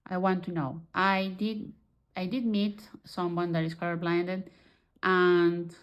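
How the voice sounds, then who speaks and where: Low-voiced woman talking through a tutorial. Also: level tone, takes it slowly, clear, resonant tone, dull audio. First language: not English. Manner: chatty, restarts and searches for words. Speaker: digital art tutorial creator. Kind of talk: casual screen-recorded tutorial.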